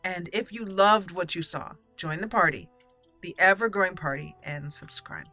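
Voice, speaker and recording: A woman's voice: lowish voice, normal speed, bright, forceful tone, soft background music. A woman hosting a video game let's play with casual commentary.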